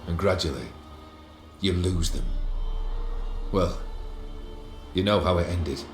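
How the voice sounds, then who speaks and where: Slow, world-weary, melancholy nobleman. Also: deep voice, echoey room, music in the background. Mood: brooding, reflective. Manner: eloquent, formal, brooding. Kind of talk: video game voice acting.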